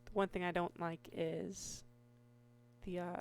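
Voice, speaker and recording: A woman with a hoarse voice giving casual, meandering commentary over her Minecraft gameplay, restarting sentences often. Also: lowish voice, flat delivery. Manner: slow, meandering, with many restarts and fillers. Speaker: Minecraft YouTuber.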